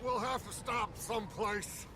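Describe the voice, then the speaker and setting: Slow, high-pitched outlaw. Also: male voice, fairly even intonation, noisy background. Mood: urgent. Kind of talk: dramatic video game acting.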